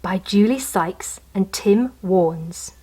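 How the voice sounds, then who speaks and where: A woman narrating a children's storybook in an animated style, with chatty asides to young listeners. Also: medium pitch, slow, breathy edge.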